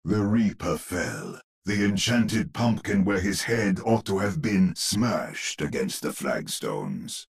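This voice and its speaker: Grave old horror narrator, low husky voice, sweeping intonation, theatrical, ominous, video game storybook delivery. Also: male voice, big pitch swings, slow pace, hardly any pauses, heavily acted. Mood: solemn. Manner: theatrical, ominous, savouring each phrase.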